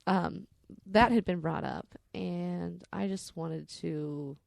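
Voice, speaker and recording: Low, creaky-voiced woman telling candid stories. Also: slow, a little mellow, no room sound, studio quality. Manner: candid, salty-tongued. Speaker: podcast storyteller. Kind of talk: candid, rambling personal storytelling.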